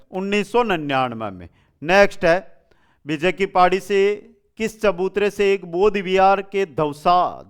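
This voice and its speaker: Guy w a doing quiz style exam lesson, high clear voice, repeats stuff for students. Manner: repeats questions and answers, addresses students directly. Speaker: online exam-prep teacher. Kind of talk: question-and-answer quiz lesson.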